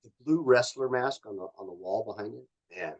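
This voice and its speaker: Male, middle-aged, North American accent, lowish voice. Executive interviewee, conversational and rambling, explains as he goes. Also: a little fast, dull audio. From born in Canada, grew up in Los Angeles. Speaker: company executive guest. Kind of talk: casual interview chat.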